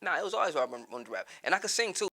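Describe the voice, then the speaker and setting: A man's voice: on the high side, big pitch swings, very fast, gravelly, over loud music. A man from Columbus, Ohio, chatting easily as a guest and laughing often. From Columbus, Ohio, born in Texas. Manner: laid-back, laughs often, says "you know what I mean". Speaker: guest rapper. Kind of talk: casual interview chat.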